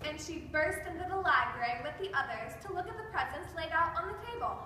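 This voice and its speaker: A woman's voice: very high-pitched, expressive, quick pace, sharp tone. A woman narrating a scripted story in a readers theater performance. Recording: echoey hall from far off, noisy.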